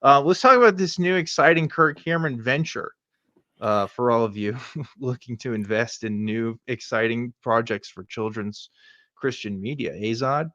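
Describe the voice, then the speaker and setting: A man's voice: mid-range voice, normal speed, fairly bright tone, dry recording. Sarcastic man hosting, slightly dull audio. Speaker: host of a live weekly show. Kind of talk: casual, joking commentary chat.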